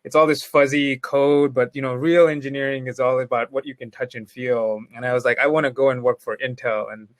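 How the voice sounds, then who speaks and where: A man from Pakistan telling his story as a panel guest, relaxed and reflective, often saying "you know". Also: mid-range voice, a little fast, few pauses, dry recording. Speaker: panelist, entrepreneur. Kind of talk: conversational storytelling.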